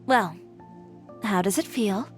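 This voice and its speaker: A woman's voice: mid-range voice, slow, soft background music, natural voice. A woman playing an assertive, composed young designer in a video game, cool but kindly and self-assured. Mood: composed, reflective.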